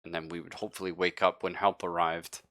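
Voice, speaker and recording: A man playing a nervous, evasive younger space crew survivor in a tabletop game, speaking haltingly with stammers. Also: low-pitched, level tone, pushed and bright, no room sound. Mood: evasive, explaining.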